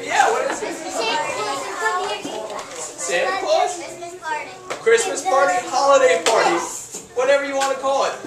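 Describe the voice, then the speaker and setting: A man's voice: extremely high pitch, big pitch swings, a little slow, pauses often, a loud crowd. A young man in his twenties performing as a children's entertainer at a holiday party, upbeat and jokey as he coaxes the kids to join in. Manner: upbeat, jokey, coaxing the audience. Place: large hall decorated for the holidays, indoors. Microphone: far camera. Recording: echoey hall from far off, noisy kids.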